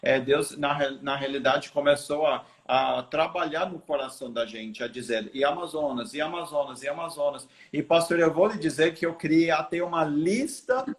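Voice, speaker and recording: Male, American accent in Portuguese, earnest, restarts a lot, slightly high pitch. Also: moderate pace. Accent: American English. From United States. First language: English. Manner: earnest storytelling with many restarts. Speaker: missionary guest. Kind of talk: live video interview.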